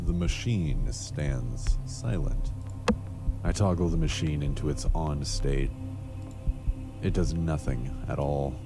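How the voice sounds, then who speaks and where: A man playing a world-weary cyberpunk noir detective in a video game, with dry, deadpan, measured narration. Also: very deep voice, over music, exaggerated voice.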